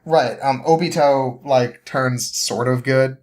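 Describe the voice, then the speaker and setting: Guy on a podcast casually retelling a story, middle pitch, rambly and halting, kinda amused. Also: fairly even intonation, darkish tone. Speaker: podcast guest.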